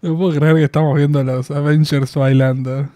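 A man's voice: on the high side, flat delivery, dark tone, faint music behind. Chatty young Argentine man reviewing a show. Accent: Argentine Spanish. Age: 20s. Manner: chatty, laughs often, drawn-out fillers. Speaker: reaction video host. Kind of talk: casual spoken review. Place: small room in front of packed collectible shelves. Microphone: studio mic on boom arm, headphones on.

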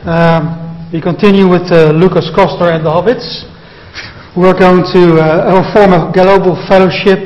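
A man's voice: sits high, fairly even intonation, unhurried, a little mellow. A man chairing a conference session, introducing the next speakers hesitantly with fillers. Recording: reverberant muffled hall.

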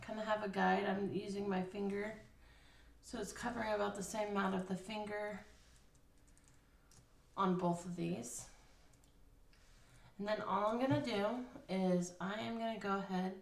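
A woman's voice: mid-range voice, fairly even intonation, moderate pace, gravelly, pauses often. A woman casually explaining a craft step by step at a table, thinking aloud with fillers. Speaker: craft instructor. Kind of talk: casual hands-on craft tutorial. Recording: roomy, slightly distant.

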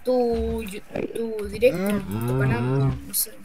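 A woman's voice: mid-range voice, big pitch swings, slow, a loud crowd. A woman from Chile with a Chilean accent, speaking bluntly in casual game chat. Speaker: player on voice chat.

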